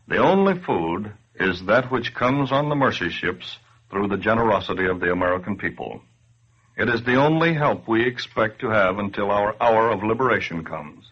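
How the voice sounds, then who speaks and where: Husky, somber wartime radio witness. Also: male voice, fairly low pitch, exaggerated voice. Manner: grave, deliberate. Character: somber man reading a starving refugee's plea. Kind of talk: 1940s dramatic radio reading. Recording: muffled old radio recording.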